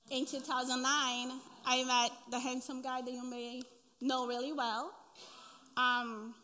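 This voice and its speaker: A woman's voice: on the high side, sharp tone. A woman in her thirties with a Brazilian Portuguese accent, sharing an earnest personal testimony at a church women's breakfast, with frequent ums and restarts. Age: 30s. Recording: long reverb of a hall.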